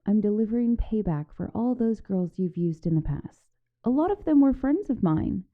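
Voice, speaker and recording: A woman's voice: mid-range voice, animated, moderate pace, narrow, tinny audio, lightly acted. A woman voicing a vengeful, teasing young giantess in an ASMR roleplay monologue, mocking and menacing in a playful, theatrical way. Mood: stern, vengeful. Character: gloating, teasing vengeful young giantess taunting shrunken captive.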